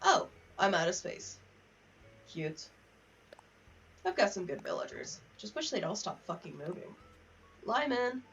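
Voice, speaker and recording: A woman hosting a casual gaming livestream. She talks candidly and wryly with plenty of swearing, at a middling pitch. The sound is dull and a little far from the microphone. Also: sing-song, unhurried, long pauses, fairly bright tone, breathy edge. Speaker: livestream host. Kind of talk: casual gaming livestream chat, reading in-game letters aloud. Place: home office.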